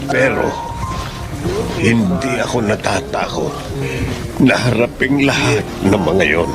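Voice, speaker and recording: A man acting an earnest, repentant husband and father in a radio drama, with deliberate phrasing. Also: fairly low pitch.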